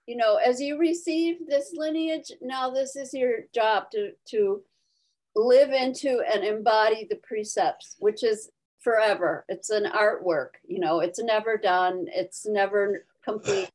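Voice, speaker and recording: A woman with a fairly high voice and very slow delivery, leading a spiritual discussion on a video call. She speaks reflectively, in short spontaneous phrases with room between them. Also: a little mellow, rough recording. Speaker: Zen teacher leading a discussion. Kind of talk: spontaneous dharma talk and discussion.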